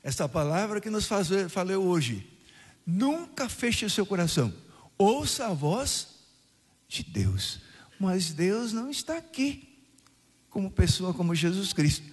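An older man from southern Brazil preaches at mass. His voice sits a little high and is husky, and he often lifts it in emphatic questions to the congregation. The sound carries the long reverb of a church, as picked up for a radio broadcast. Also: big pitch swings, unhurried. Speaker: priest.

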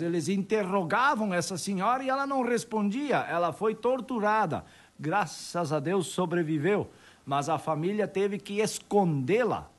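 Lively husky-voiced man. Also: high-pitched. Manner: animated, frequent "né?" tag questions. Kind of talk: casual storytelling about local history.

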